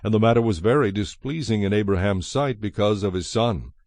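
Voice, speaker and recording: A man giving a measured, solemn narration of scripture as the narrator of a dramatized production. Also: on the low side, no echo. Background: soft music.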